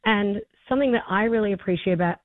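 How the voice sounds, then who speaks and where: A hoarse woman in her forties with an Australian accent, a festival co-founder answering interview questions enthusiastically, with many fillers and restarts. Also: mid-range voice, fast talker, no echo. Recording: tinny phone line, dry.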